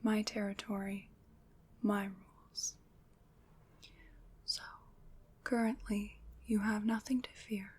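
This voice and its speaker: A woman plays a gentle witch who soothes and protects. Her voice is an extremely breathy, unvoiced whisper. She takes her time, speaking slowly and reassuringly in an intimate ASMR roleplay style. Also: mid-range voice, little pitch movement. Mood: soothing, protective. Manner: soft, slow, reassuring, measured.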